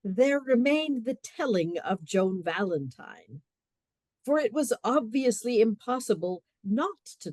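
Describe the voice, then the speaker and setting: Mellow, expressive woman narrator. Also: medium pitch, no room sound. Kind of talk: scripted story narration for a radio play.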